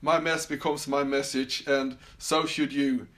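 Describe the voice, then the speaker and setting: Swedish-accented male podcast host, halting and filler-heavy, average pitch. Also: little pitch movement, fairly bright tone. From Sweden. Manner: halting, many fillers and restarts. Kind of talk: casual solo podcast monologue. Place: summer house.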